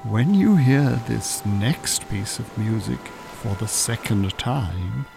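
Smooth, unhurried Irish con-man. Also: fairly low pitch, lively intonation, music in the background, exaggerated voice. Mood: soothing, hypnotic. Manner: courteous, unctuous, soothing, professional. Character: smooth, calculating male con-artist hypnotist posing as scientist. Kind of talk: radio drama acting.

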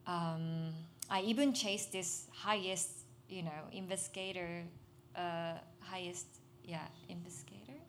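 A young adult Japanese woman giving a personal testimony in English on a festival stage, halting and searching for words, soft and earnest. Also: fairly low pitch, steady tone, a little hiss or hum. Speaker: speaker at a literary festival.

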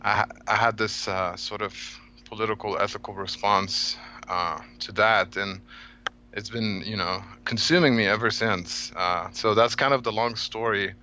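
A man from Egypt in his thirties, a guest author on a podcast, telling a long story with many halting fillers. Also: on the low side, fairly even intonation, sharp tone, lo-fi sound, a little hiss or hum. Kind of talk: podcast interview, reflective storytelling.

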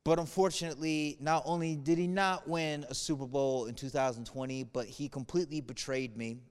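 A man in his thirties with a smooth, somewhat high voice speaks earnestly to a congregation, easing from light humor into sober reflection. His voice rings with a long echo from the room. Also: steady tone, fairly quick. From United States. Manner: earnest, shifts between playful humor and somber reflection. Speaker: church speaker. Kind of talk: video message to a congregation. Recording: reverberant room.

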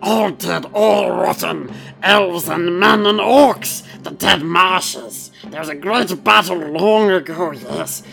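A woman voicing a furtive, wheedling male creature in a gravelly, hissing whisper, drawing out her words in slow sing-song repetitions. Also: on the low side, over music, pitched below the performer's own voice, heavily acted. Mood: brooding, creepy.

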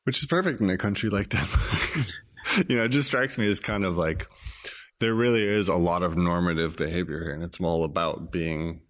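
Very deep-voiced man, easygoing podcast host, chatty and relaxed. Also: normal speed. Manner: easygoing, chatty, laughs often. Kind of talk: casual interview chat.

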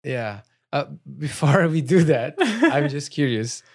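A man with a Brazilian accent hosting a casual podcast interview, asking curious, halting questions. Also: fairly high pitch, very animated, breathy edge. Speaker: podcast host.

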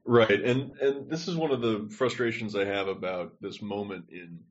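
A man's voice: on the low side, fairly even intonation, normal speed, lo-fi sound. A man co-hosting a podcast, talking in a digressive, think-aloud way over a remote call. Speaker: podcast co-host. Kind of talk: casual discussion.

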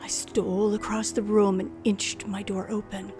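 A woman's voice: mid-range voice, level tone, moderate pace, natural voice. An actress playing a frightened woman narrating her own ordeal in a 1940s-style radio thriller, dramatic and suspenseful. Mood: tense, hushed. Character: frightened young woman narrator held captive by killer. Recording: old radio drama with music behind.

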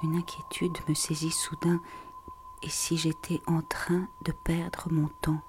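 A woman narrator reading a poetic, introspective literary monologue in a hushed, breathy way. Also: sits low, completely flat delivery, measured pace, noisy background.

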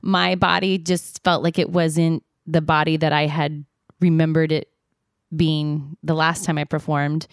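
Low, smooth-voiced woman telling stories. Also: little pitch movement, unhurried, dry recording, clean studio sound. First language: not Spanish; sings in Spanish. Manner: chatty, candid, laughs easily, many fillers. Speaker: singer and podcast co-host. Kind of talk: casual storytelling chat.

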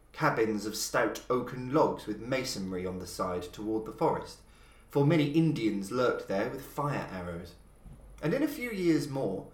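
Guy narrating a spooky old story, slow and dramatic, voice swooping up and down a lot, kinda echoey room. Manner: measured, dramatic delivery with lively pitch swings. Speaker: podcast host and reader.

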